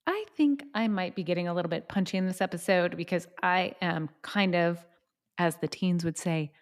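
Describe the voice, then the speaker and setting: A woman's voice: fairly low pitch, normal speed. A woman of about fifty hosting a solo podcast, giving conversational advice in an informal way and putting questions straight to the listener. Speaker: podcast host and parenting coach.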